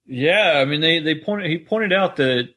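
A man's voice: fairly high pitch, expressive, a little slow, bright, forceful tone. Man co-hosting a casual podcast. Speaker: podcast co-host. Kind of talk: casual discussion of an audio drama.

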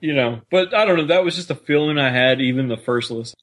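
A man's voice: medium pitch, fast talker, fairly bright tone. A man co-hosting a podcast, chatting casually as a fan about a story. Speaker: podcast co-host.

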